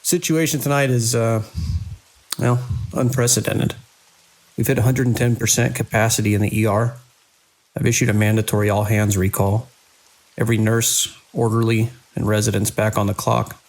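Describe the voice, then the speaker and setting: A man playing a weary hospital director and surgeon in an audio drama, speaking in a measured, formal, wry way. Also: on the low side, flat delivery, lightly acted, low-quality recording. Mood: grave, measured. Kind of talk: scripted audio drama narration.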